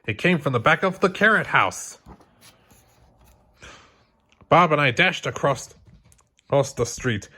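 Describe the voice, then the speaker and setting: A man reading a children's storybook aloud as scripted, expressive narration. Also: a little high, moderate pace, husky. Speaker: storybook reader.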